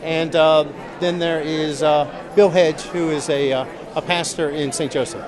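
A man in his forties, a political candidate, speaks with a fairly high voice, earnest and halting, stumbling over repeated words. He is interviewed in a large indoor convention hall. A busy crowd murmurs all around him. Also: fairly even intonation. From Missouri. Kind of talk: on-the-spot interview. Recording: busy crowd noise behind. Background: crowd chatter.

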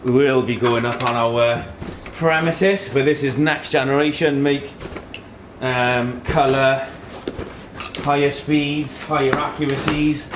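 A man's voice: mid-range voice, steady tone, slow. Man presenting an unboxing in an echoey room. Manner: talks while handling boxes and products, in short phrases. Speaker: host of a tech unboxing video. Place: indoor office meeting room. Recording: echoey room, background noise and handling clatter.

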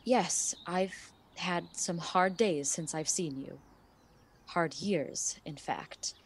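A woman's voice: fairly low pitch, takes it slowly, husky, heavily acted. An actress in a radio play playing a weary, hardened woman who speaks plainly and earnestly. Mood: bitter, weary. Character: weary, hardened woman worn down by years of debt.

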